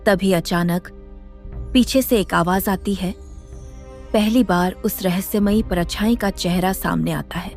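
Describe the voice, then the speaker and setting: A woman's voice: mid-range voice, slow. A woman narrator reading a scripted, dramatic story. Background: loud background music.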